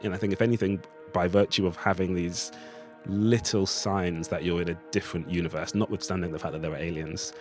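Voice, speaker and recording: A man's voice: low-pitched, steady tone, brisk, faint music behind. A man giving a thoughtful, discursive interview as a writer, speaking in a reflective conversational way. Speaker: writer of a radio play, interviewee.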